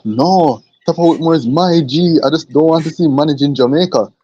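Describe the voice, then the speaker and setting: A man's voice: a little high, brisk, dark tone. A man co-hosting a podcast in casual chat, laid-back and hesitant with many fillers, on a dull lo-fi recording. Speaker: podcast co-host. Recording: dull lo-fi sound.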